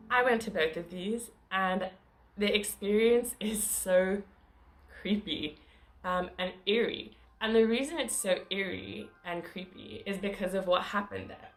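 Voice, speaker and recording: A woman's voice: mid-range voice, a little hiss or hum. A woman narrating her travel vlog in a casual, chatty way, with small laughs and fillers. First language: not Croatian. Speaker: travel vlogger.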